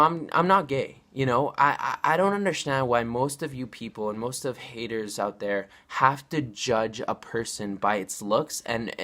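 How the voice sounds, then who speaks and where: A man's voice: medium pitch, a bit bright. A nineteen-year-old man speaks to his viewers in a loose, rambling vlog. He circles back over the same points with earnest restarts. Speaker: vlogger. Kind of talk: casual direct-to-camera vlog.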